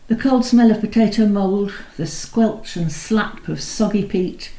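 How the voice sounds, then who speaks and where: Brief: woman reader, warm low tone, unhurried and expressive, recorded in an echoey room. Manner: measured, expressive reading, slowing on key lines. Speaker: poetry reader. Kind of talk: poetry recital with spoken introductions. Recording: roomy echo.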